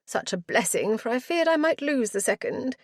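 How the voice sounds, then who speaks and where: A woman's voice: a little high, lively intonation, normal speed, dry recording, studio quality, pitched above the performer's own voice. A woman reading a novel aloud, voicing a flustered, bustling widow who gushes with old-fashioned politeness. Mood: flustered gushing. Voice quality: fluttery, gushing. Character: flustered fussing older village widow.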